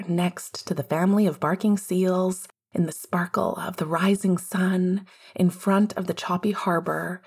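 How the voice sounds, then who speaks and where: A woman narrates fiction in a lowish voice with a husky edge. She reads scripted prose as an audiobook storyteller. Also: a little mellow.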